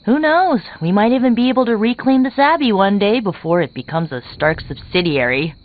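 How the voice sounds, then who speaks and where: A woman's voice: mid-range voice, lively intonation, a little fast, phone-quality sound, close to an everyday voice. A woman playing a casual, wry young superheroine among outcasts in a video game. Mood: teasing. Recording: band-limited game audio.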